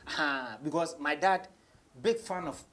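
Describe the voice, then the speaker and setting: A man's voice: fairly high pitch, unhurried, fairly bright tone. A young Ghanaian man, a rapper being interviewed, casually telling stories in a mix of English and Twi. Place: small radio studio office, seated on a couch.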